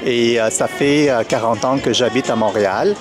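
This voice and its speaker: A man's voice: medium pitch, normal speed, music in the background. A man born in Vietnam, a native Vietnamese speaker, answering street interview questions as a festival volunteer, hesitant and full of fillers and restarts. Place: outdoors at a city festival.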